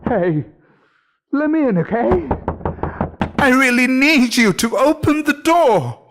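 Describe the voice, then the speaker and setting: A man's voice: extremely high pitch, animated, slow, fairly bright tone, voice pushed up, exaggerated voice. A man playing a frightened, trapped character pleading urgently in a horror story.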